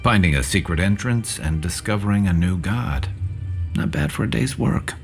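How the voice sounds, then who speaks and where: A deep, steady man's voice plays a plain-spoken adventuring companion in a fantasy game. Faint music runs behind the dialogue. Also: moderate pace, creaky. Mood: steady, dry. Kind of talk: video game cutscene acting.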